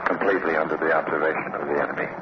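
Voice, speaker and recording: Low-voiced war correspondent under loud gunfire. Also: male voice, little pitch movement, rapid-fire, dull audio. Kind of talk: live field reporting. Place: outdoors at a battlefront. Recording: noisy old field radio recording. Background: gunfire and mortar blasts.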